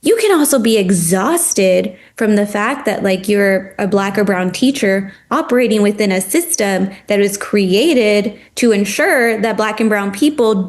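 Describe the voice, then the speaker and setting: A woman who is a former teacher, speaking passionately and with animation as a podcast guest, using "like" often. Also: mid-range voice. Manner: passionate, animated, heavy use of "like". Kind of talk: casual podcast conversation.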